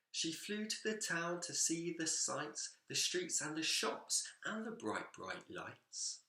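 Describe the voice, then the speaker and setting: Bloke doing story time for little kids, roomy sound, really animated pitch, expressive teacher style reading. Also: male voice. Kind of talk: children's picture book read aloud. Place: indoors, seated in a reading chair. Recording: echoey room.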